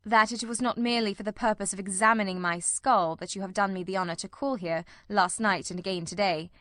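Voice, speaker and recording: A shrewd gentleman detective of classic storybook fiction as put on by a woman reader. The delivery is brisk, exact and commanding, with dry wit. The sound is close and dry, at a medium pitch for a female voice. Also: fairly even intonation, no room sound, lightly acted. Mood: polite, probing. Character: shrewd, self-assured, dryly witty male detective. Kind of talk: audiobook character voice.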